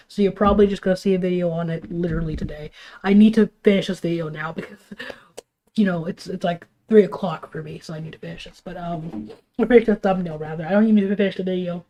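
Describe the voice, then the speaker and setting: A woman's voice: fairly low pitch, mellow, slight background noise, rough recording. A young woman, sick and sniffling, ranting on a casual livestream with heated, rambling talk full of restarts and fillers. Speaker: streamer.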